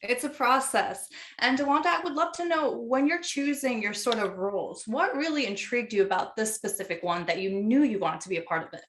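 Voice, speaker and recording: Higher-pitched woman interviewer. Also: animated, fairly quick, few pauses, fairly bright tone. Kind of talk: prepared interview questions, conversational.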